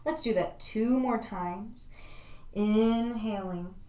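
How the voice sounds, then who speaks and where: A woman leading a yoga class, giving calm, soothing step-by-step cues. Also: mid-range voice, slow pace. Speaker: yoga instructor. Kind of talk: guided yoga class instruction. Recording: roomy echo, voice from a distance.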